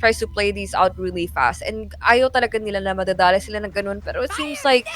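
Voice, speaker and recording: A woman's voice: mid-range voice, fast, fairly bright tone. A woman with a Filipino accent giving live play-by-play game commentary as an esports caster, switching between two languages.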